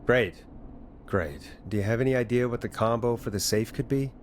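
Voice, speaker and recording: An actor playing a steady late-night radio DJ in a video game, a man who is reassuring but dryly exasperated. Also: mid-range voice, moderate pace, a little hiss or hum, natural voice. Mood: dry exasperation. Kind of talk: video game voice acting, conversational.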